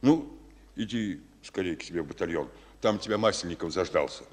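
An actor playing a gruff but fatherly Soviet general in a war radio drama, speaking haltingly and with emotion. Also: low voice, expressive, darkish tone, in a large hall. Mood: quiet, fatherly.

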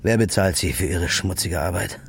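A man's voice: low voice, moderate pace, slight background noise. An actor playing a grim, desperate man confronting the killer of his girlfriend in a radio play. He asks blunt, accusing questions, sometimes dropping to a whisper.

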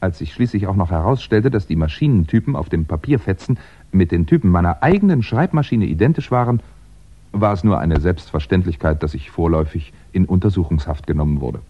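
An actor playing a wry, composed crime writer in a 1960s German radio drama, telling his story in a measured, conversational way with hesitant asides. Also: low-pitched, lively intonation. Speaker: actor playing narrator and lead. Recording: dull, band-limited 1960s radio recording.

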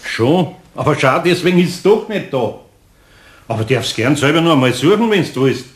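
Man as older Bavarian master craftsman, fatherly, patient, expressive intonation, homely, radio drama. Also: on the high side, moderate pace, close to an everyday voice. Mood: kindly, consoling. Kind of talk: German radio play for children.

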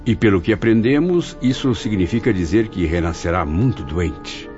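A man's voice: fairly low pitch, animated, normal speed, darkish tone, natural voice. A man playing an anguished, questioning lost spirit in a radio drama, pleading theatrically in formal language. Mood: troubled, pleading. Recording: dull lo-fi audio under music.

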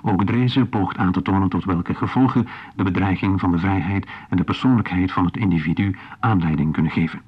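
A man's voice: sits low, fairly quick. A man reading a scripted broadcast introduction as a radio announcer. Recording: muffled 1980s radio recording.

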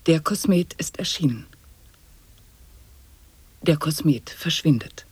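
Husky, low absurdist commentator. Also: female voice, lively intonation, takes it slowly, no echo, exaggerated voice. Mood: whispered announcing. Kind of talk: avant-garde radio play, absurd recitation.

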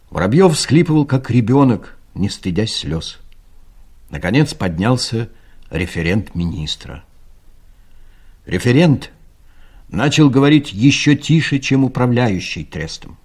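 A man's voice: fairly low pitch, a little mellow, slightly rough. A male narrator telling a satirical story in a dry, ironic manner, as in a scripted audio play.

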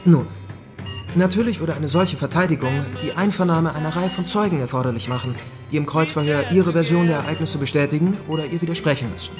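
Ein Schauspieler spielt in einem Krimihörspiel einen jungen Anwalt, der förmlich und bedächtig im Auftrag von Gangstern unterschwellig droht. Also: male voice, a little high, lightly acted. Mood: coolly menacing. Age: young adult. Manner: formal, deliberate, veiled threat. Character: young male lawyer, coolly menacing mob go-between. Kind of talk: crime radio drama acting. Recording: loud music behind.

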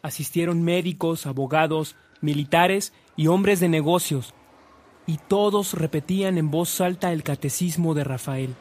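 An actor narrating a saint's life in a radio drama, as a sober storyteller reading from a script. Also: male voice, fairly high pitch, a little slow, darkish tone. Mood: sober, storytelling.

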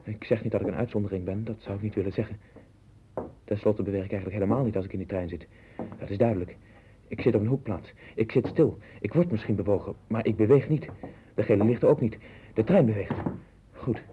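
Man acting obsessive fast-talking radio-play man. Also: on the low side, lightly acted. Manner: rambling, repetitive, brooding. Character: anxious, obsessive man sinking into his own strange world. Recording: tinny, band-limited 1960s radio recording with hiss.

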